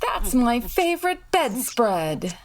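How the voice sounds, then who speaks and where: An actress playing a witty, wryly put-upon society wife in an old-time radio comedy, with a dry delivery. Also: female voice, fairly high pitch, very animated, slow pace, crowd noise.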